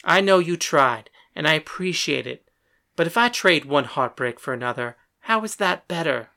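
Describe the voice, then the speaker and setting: A woman voicing a young woman who is heartsick and pleading, speaking softly and earnestly. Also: sits low, expressive, moderate pace, creaky, pitched below the performer's own voice, heavily acted. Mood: dejected. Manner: soft, earnest and hurt.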